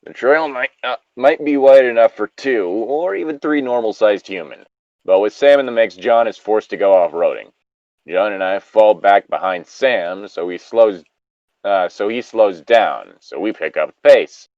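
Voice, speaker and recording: Low-voiced man reading and riffing casually. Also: unhurried, no room sound, muffled recording. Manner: casual, joking, rambling asides. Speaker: reader and commentator of online stories. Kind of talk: reading a story aloud with casual, profane commentary.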